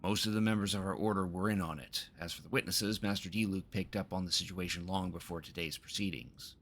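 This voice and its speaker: A man with a low voice live streaming a game, reading the dialogue aloud and stumbling now and then before correcting himself. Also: fairly even intonation, a little fast, fairly bright tone, slight background noise. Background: game music.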